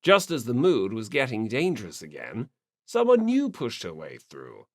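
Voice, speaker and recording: A man's voice: medium pitch, wildly swooping pitch, moderate pace, no room sound. Man narrating an audiobook, close and dry. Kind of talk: scripted story narration.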